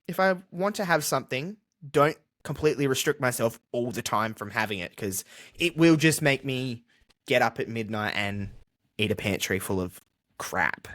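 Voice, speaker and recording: A man's voice: mid-range voice, expressive, moderate pace, fairly bright tone. Casual, candid Australian man. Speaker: podcast guest. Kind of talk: casual conversational interview.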